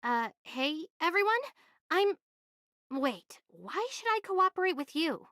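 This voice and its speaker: A woman's voice: very high voice, sing-song, slow pace, fairly bright tone, no echo, clean studio sound, full character voice. A woman voicing a level-headed, assertive young envoy in an anime game, speaking in an earnest, articulate way. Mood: doubtful, challenging. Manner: earnest, articulate, matter-of-fact.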